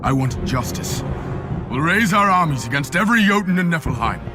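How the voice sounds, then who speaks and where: An actor playing a headstrong, vengeful young warrior god in a video game, with a forceful, declamatory delivery. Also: male voice, on the high side, lively intonation, moderate pace, loud music behind the voice, natural voice. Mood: angry defiance. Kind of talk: dramatic video game cutscene acting.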